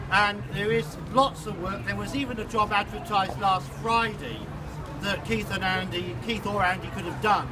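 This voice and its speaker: A man, a union colleague, speaking at an outdoor protest in a blunt, indignant way with small restarts. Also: high-pitched. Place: outdoors at a college protest, street traffic nearby. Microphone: distant camera. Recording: distant voice with street noise. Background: traffic and street ambience.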